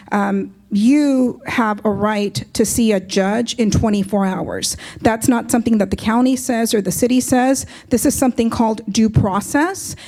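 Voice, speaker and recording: A woman from Southern California with an American accent, speaking publicly as a judge and explaining things in measured phrases with frequent ums. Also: a little high, warm tone. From Southern California, United States. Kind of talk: public speech to an audience.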